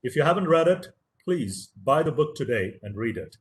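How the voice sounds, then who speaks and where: A man whose first language is Tamil delivers a motivational lecture over a video call. His voice sits at an ordinary middle pitch, and he talks earnestly. He stresses key words and repeats phrases to drive his points home. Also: sing-song, normal speed. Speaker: professor giving a webinar lecture.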